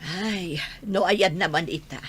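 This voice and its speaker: A woman's voice: on the low side, slow pace, fairly bright tone, slightly rough, full character voice. A woman playing a fond, chatty elderly village grandmother with an Ilocano accent in a radio drama. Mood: reminiscing, teasing. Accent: Ilocano, northern Philippines.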